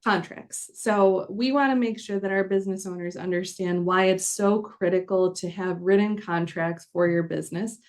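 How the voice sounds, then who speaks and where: A woman's voice: mid-range voice, darkish tone. A woman in her thirties from the Chicago area, a corporate attorney explaining things earnestly on a podcast interview. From Chicago area, United States.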